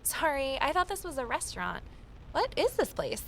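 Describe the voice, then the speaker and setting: A woman's voice: sits high, big pitch swings, normal speed, vocal fry, a bit bright, a little hiss or hum, full character voice, poor audio. A woman playing a curious, determined investigator who narrates an audio drama in a reflective, conversational way, with ambience behind her. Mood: curious, hesitant. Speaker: narrator and lead character. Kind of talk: first-person audio drama narration and dialogue.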